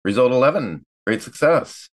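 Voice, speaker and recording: A man's voice: medium pitch, animated, normal speed. A man playing a tabletop roleplaying game, chatting casually and describing what a character does.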